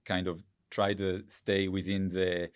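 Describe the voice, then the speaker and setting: A man's voice: deep voice, monotone, measured pace, smooth. A man in his thirties or forties with an Israeli accent, a Hebrew speaker explaining things step by step as a podcast guest. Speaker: guest, growth hacker. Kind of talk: podcast interview.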